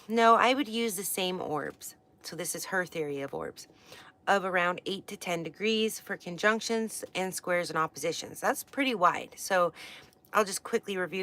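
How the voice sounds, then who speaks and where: A woman's voice: fairly low pitch, a bit bright. A woman from the west coast of the United States, teaching astrology in a casual livestream, chatty and rambling with many fillers and restarts. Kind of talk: casual livestream book study.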